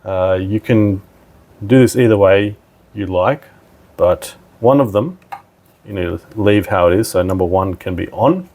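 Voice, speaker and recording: A man's voice: low-pitched, measured pace, a little mellow. A man giving a casual, plain-spoken step-by-step technical demonstration as a tutorial presenter.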